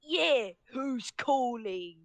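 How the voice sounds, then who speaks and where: A woman's voice: fairly high pitch, lively intonation, drawn-out words, no echo, exaggerated voice. A woman playing a shy, earnest, slightly awkward young songwriter in a fan fiction roleplay. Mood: puzzled.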